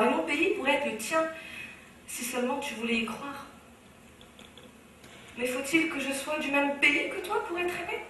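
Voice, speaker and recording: A woman from Morocco declaims a slam poem in a fairly high voice, rhythmic and impassioned. She is miked at a distance in a very echoey hall, with faint noise underneath. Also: fairly bright tone. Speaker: speaker. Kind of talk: spoken-word slam recital. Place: large hall on stage.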